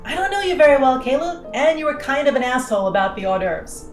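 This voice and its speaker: A woman's voice: high voice, sing-song, quick pace, echoey room, soft background music. A woman playing a cocky, sardonic pilot in an audio drama, with a dry, blunt, teasing delivery. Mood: dry, smug. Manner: dry, sarcastic, blunt, foul-mouthed teasing. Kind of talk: naturalistic audio drama dialogue.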